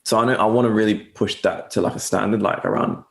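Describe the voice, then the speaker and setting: Low gravelly man with a mixed English-Australian accent. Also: fairly even intonation, fast talker, reverberant. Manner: rambling, self-correcting, lots of fillers. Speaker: guest agency owner. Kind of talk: casual interview chat.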